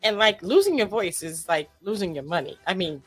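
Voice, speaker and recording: A woman's voice: mid-range voice, very animated, a little fast, fairly bright tone. A woman hosting an interview show, asking her guests questions with lots of enthusiasm. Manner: enthusiastic, gushing.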